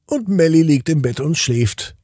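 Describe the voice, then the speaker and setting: A man narrating a scripted bedtime story for children, in short simple phrases with deliberate pauses. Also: a little high, expressive, mellow, no echo, studio quality.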